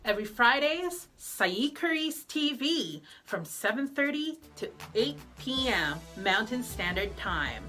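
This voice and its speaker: High-pitched woman announcing radio promos over music. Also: very animated, takes it slowly, hardly any pauses. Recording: music bed behind the voice.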